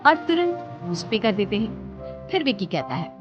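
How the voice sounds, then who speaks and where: A woman's voice: medium pitch, sing-song, normal speed. A woman narrating a scripted story as a storyteller. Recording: dull audio under loud music.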